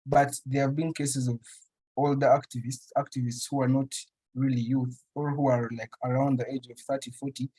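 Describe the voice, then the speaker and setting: A man's voice: mid-range voice, little pitch movement, normal speed, mellow, slightly rough, poor audio. A young man from Uganda, answering interview questions casually and thoughtfully, with frequent restarts and fillers. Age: young adult. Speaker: interview guest, writer.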